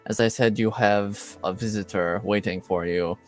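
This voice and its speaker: A man's voice: fairly low pitch, fairly even intonation, moderate pace, faint music behind, natural voice, poor audio. A man voicing an annoyed, curt middle-aged Templar guard in a fantasy tabletop game.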